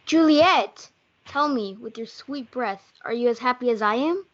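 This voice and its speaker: A woman's voice: a little high, unhurried. A young woman reading an earnest, lovestruck young man in amateur reader's theatre. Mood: ardent. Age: teenager. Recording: dull lo-fi audio.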